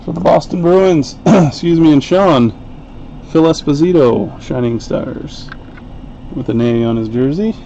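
Man, card break host, slow chatty play-by-play, mellow voice, dull lo-fi sound. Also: fairly high pitch, a little hiss or hum. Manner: unhurried, chatty play-by-play while opening packs. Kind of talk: live card break commentary. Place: home card-break room, indoors.

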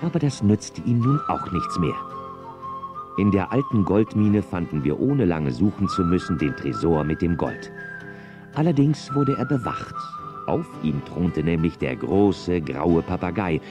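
Western sheriff, storyteller, deep voice, animated intonation, children's audio drama. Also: male voice, a little slow, music in the background. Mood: storytelling. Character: sheriff telling his own western adventure. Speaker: narrator.

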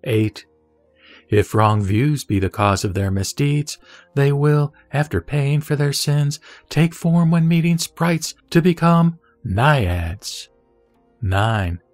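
A man's voice: mid-range voice, darkish tone, no room sound. Man solemnly reciting scripture over music. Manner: measured, formal, phrase-by-phrase delivery. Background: background music.

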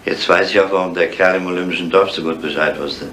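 An actor playing a gruff, bitter and driven police commissioner in a 1970s crime radio drama, grumbling and urgent. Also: male voice, low-pitched, steady tone, quick pace, fairly bright tone, a little hiss or hum.

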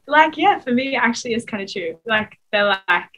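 A woman's voice: fairly high pitch, expressive, brisk, bright, forceful tone. A young Australian woman, a college basketball player, talking casually over a video call with many fillers. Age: young adult. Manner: casual, chatty, lots of fillers. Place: small room, indoors.

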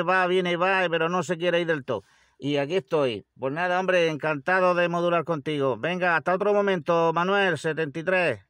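High-pitched Spanish man, close dry sound. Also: a bit bright, clear, resonant tone, no room sound. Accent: Spanish from Spain. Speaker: amateur radio operator. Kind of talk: casual ham radio contact. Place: inside a parked car.

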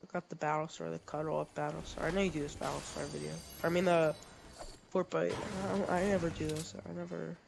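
A young man, a streamer recovering from a cold, chatting casually and haltingly while he plays, with lots of fillers and restarts. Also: on the high side, flat delivery, creaky, slight background noise. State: sniffly, getting over a cold. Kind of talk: casual gaming chat.

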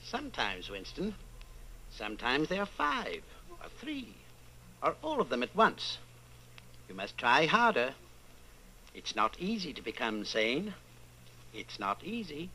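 A man as a sharp-voiced, menacing inquisitor. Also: medium pitch, wildly swooping pitch, a little hiss or hum, exaggerated voice, poor audio. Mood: cold insistence. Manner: measured, condescending, commanding. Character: coldly patient, menacing male inquisitor of a totalitarian party. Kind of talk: old-time radio drama acting.

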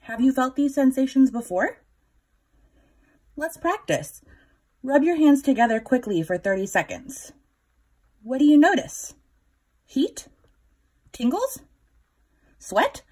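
A woman's voice: sits high, sing-song, breathy edge. Woman reading a picture book to children. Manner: instructive, pausing to prompt listeners with questions. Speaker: children's story time reader.

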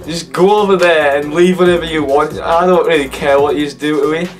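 A hoarse teenage young man with a Cumbrian accent, chatting and laughing in a casual reaction vlog from his bedroom. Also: a little high, steady tone, fairly quick, recorded from a distance, soft background music. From Cumbria, England. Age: teens. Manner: laughing, swearing, incredulous. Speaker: YouTuber. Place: bedroom, indoors. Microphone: webcam at desk.

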